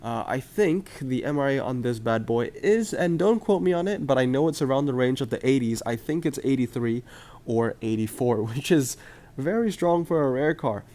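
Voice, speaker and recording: A man's voice: medium pitch, expressive, moderate pace, mellow. Chatty young man, voice off from a cold. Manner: chatty and upbeat, frequent "uh" and "um". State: voice slightly off from a cold. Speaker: mobile game video host. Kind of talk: casual gaming commentary to viewers.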